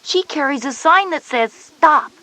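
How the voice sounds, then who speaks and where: A woman's voice: sits high, expressive, measured pace, bright, forceful tone, exaggerated voice. A woman voicing a knowing, patient schoolgirl big sister who explains things in a children's educational story. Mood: patient, instructive. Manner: explanatory, instructive. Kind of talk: scripted children's educational cassette dialogue.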